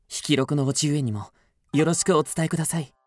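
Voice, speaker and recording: Actor as noble young swordsman, period radio drama. Earnest, polite, archaic diction, quietly determined, light music bed. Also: on the high side, fairly quick, lightly acted. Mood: formal, respectful. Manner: formal, archaic, courteous speech. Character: earnest, honorable young samurai, gentle and resolute.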